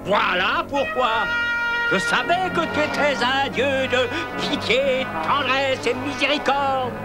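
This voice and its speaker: An actor playing an indignant prophet who proclaims doom in a declamatory way, in a dubbed animated Bible cartoon. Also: male voice, very high voice, slow, heavily acted. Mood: bitter, exasperated. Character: wrathful, indignant prophet preaching doom to a sinful city. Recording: loud music under the voice.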